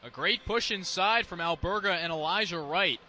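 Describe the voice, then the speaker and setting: High, bright male football analyst over noise. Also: normal speed. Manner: explanatory, opinionated. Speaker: color commentator. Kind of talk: analytical live football radio commentary.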